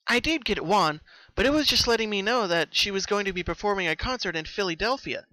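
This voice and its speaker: Man voicing an emotional high-pitched young pony girl. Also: animated, fairly quick, pushed and bright, rough recording. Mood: earnest, puzzled. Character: troubled young female party pony, tearful and sweet.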